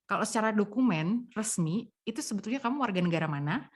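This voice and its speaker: A woman hosting a podcast in casual chat, playful and teasing, with loud laughs. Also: mid-range voice, lively intonation, very fast. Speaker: podcast host.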